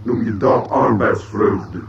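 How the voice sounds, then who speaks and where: An actor playing a deeply depressed, self-pitying robot in a radio play, talking in a weary, sarcastic, morose way. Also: male voice, slow, gravelly, reverberant, far from the mic, over a noisy crowd. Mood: gloomy, bitter. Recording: muffled, very noisy old radio drama recording.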